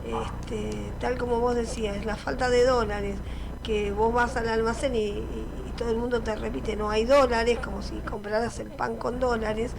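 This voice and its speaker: A woman's voice: a little high, level tone, moderate pace, gravelly, noisy background. An Argentine woman with a Rioplatense accent, a radio host chatting casually in loose, filler-filled phrases over an echoey line. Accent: Rioplatense Spanish. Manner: filler-heavy, speaks in loose clauses.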